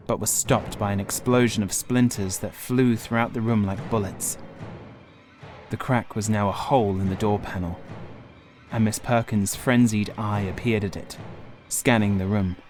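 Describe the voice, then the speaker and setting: A man reading a horror audiobook as scripted dramatic narration, grave, measured and suspenseful. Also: on the low side, darkish tone. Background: music.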